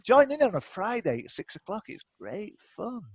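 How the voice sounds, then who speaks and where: A man's voice: high-pitched, sing-song, normal speed. A man hosting a live online quiz off the cuff, with chatty, teasing banter. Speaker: quiz host. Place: indoors, online video call.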